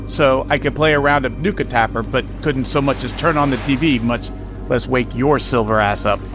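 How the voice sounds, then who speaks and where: An actor playing a brash, sarcastic, streetwise sidekick turned cab driver in a radio drama. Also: male voice, mid-range voice, normal speed, over music, natural voice. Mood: resentful.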